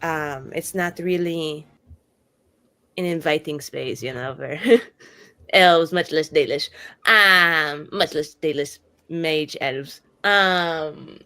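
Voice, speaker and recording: A woman's voice: low voice, slow, pushed and bright, clear, resonant tone, exaggerated voice. A woman playing an irreverent elf mage held captive in a tower, rambling in casual, sweary modern slang for a tabletop roleplaying game. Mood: relieved, rambling. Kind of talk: improvised in-character roleplay, casual modern speech.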